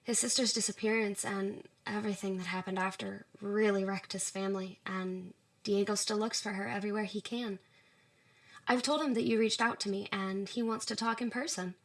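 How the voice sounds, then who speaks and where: An actress playing a considerate, protective woman, a loyal friend speaking gently and carefully in an audio drama. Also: mid-range voice, steady tone, normal speed, close to an everyday voice. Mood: concerned.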